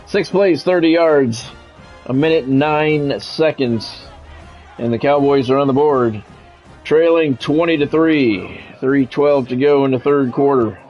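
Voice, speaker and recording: A man's voice: mid-range voice, measured pace, darkish tone, soft background music, low-quality recording. An American man calling live football video game play-by-play in clipped announcer phrases, with casual asides. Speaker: play-by-play streamer.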